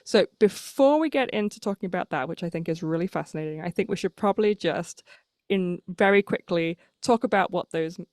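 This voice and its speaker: A woman's voice: fairly low pitch, fairly quick, darkish tone, dry recording, studio quality. A woman hosting a podcast interview, chatting in a friendly, casual way with lots of small affirmations. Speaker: podcast host.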